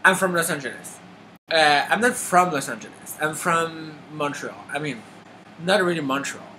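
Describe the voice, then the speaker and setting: Quebec man, thirties, bright crisp voice, stop-start delivery, faint hiss behind. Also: a little high, expressive, rough recording. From Quebec, Canada. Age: 30s. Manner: halting, many restarts and filler. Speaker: makeup artist auditioning. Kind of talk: casual self-introduction to camera.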